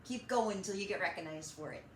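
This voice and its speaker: A woman hosting a children's storytime, reading and chatting in an animated, encouraging way straight to young listeners. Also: mid-range voice, fairly quick. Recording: roomy echo, slight background noise.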